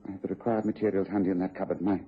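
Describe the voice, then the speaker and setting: An actor playing a brisk, self-assured English gentleman detective in a radio drama, with precise, authoritative delivery. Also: low voice, flat delivery, lightly acted. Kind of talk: BBC radio drama acting. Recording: dull, noisy vintage radio recording.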